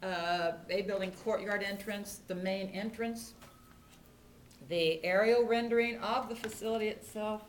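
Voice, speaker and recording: A woman's voice: mid-range voice, steady tone, a little slow. An older American woman, a mayor chairing a public meeting and making informal remarks. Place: indoor council meeting room. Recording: echoey meeting room with background noise.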